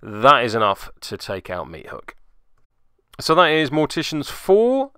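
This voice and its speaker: A man's voice: medium pitch, huge pitch swings, measured pace, fairly bright tone, clean tone, no echo, clean studio sound. A man narrating a tabletop game play-by-play in voice-over, with wry, self-deprecating asides. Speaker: host, hobby gaming channel narrator.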